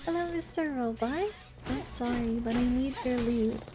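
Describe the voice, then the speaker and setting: A woman's voice: fairly high pitch, a little slow, music in the background. A woman chatting informally and playfully with viewers while she streams a video game. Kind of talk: casual live gaming chat with viewers.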